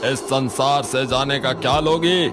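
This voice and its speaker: A man voicing a stern, commanding holy man in an animated story, speaking solemnly. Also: mid-range voice, measured pace, close to an everyday voice. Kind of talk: Hindi animated moral story voice acting. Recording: music behind the voice.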